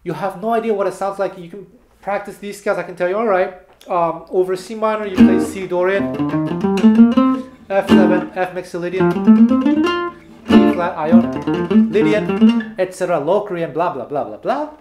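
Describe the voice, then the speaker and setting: A man in his thirties giving a casual guitar lesson, explaining lively and gesturing between bits of playing, filmed by a fixed camera in a small bare room. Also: sits high, normal speed, pauses often. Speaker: guitar teacher. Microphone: fixed camera at a few meters. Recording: roomy echo with guitar playing.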